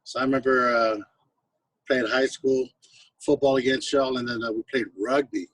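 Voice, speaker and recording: A man's voice: mid-range voice, level tone, normal speed, fairly bright tone, no room sound, far from the mic, rough recording. An American man from the West Coast and Hawaii, a guest telling anecdotes in casual, laid-back, slangy talk. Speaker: guest, singer-songwriter musician. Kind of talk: casual chat, anecdotal storytelling.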